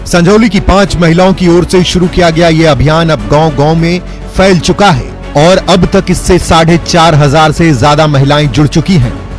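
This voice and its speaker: Fairly high Indian male news narrator. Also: steady tone, moderate pace, soft background music. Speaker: news voice-over reporter.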